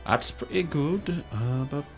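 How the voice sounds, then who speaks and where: A man's voice: a little high, expressive, slow. A man playing a tabletop game, talking casually through his turn at the table. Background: music.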